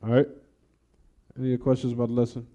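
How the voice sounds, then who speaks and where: A man's voice: medium pitch, monotone, quick pace, dark tone. An American man teaching an Islamic lesson, explaining emphatically, repeating and rephrasing his points and speaking directly to his listeners. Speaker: religious teacher. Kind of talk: Islamic lesson, reading and explaining a text, then taking questions.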